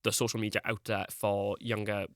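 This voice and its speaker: A man's voice: fairly low pitch, little pitch movement, moderate pace, no echo, studio quality. A young man, chatting as a podcast guest, rambling and full of "like". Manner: rambling, peppered with "like". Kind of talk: casual chat.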